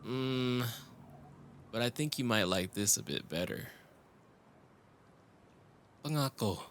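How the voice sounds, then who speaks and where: A man's voice: mid-range voice, lively intonation, slow pace, fairly bright tone, a little hiss or hum. A man playing a tender, wry young lover in an intimate cyberpunk romance audio drama, teasing and a little hesitant. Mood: affectionate, wry. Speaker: audio roleplay voice actor.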